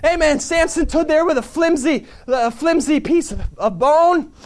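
Fervent male preacher, high-pitched, amen-peppered delivery, rising to shouts. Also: normal speed. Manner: fervent, punctuates with amen and hallelujah; builds to shouting. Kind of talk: sermon.

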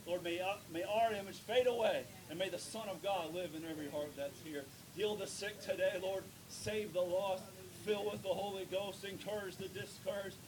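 A man preaching a sermon in church, reading scripture, praying and building to loud emphatic points with drawn-out words. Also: steady tone. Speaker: pastor. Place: church sanctuary. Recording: noisy with low rumble.

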